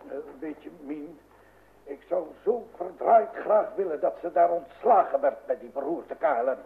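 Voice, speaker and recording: A grumbling, jealous old husband in a radio play. His voice is high and gravelly, and he stammers and repeats himself as he frets. It sounds like a thin, noisy old radio recording. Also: moderate pace, phone-quality sound. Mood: fretful. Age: older. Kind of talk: Dutch radio play acting.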